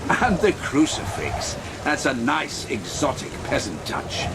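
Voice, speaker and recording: A man's voice: medium pitch, huge pitch swings, a little slow, vocal fry, exaggerated voice. An actor playing a gloating, mocking vampire lord in a gothic horror audio drama, savoring his words with theatrical menace. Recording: rain and thunder noise throughout.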